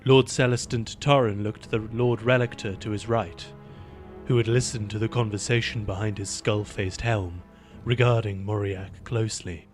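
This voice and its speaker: A man's voice: on the low side, fairly even intonation, moderate pace, soft background music. A man reading scripted narration as the storyteller of a fantasy audio drama.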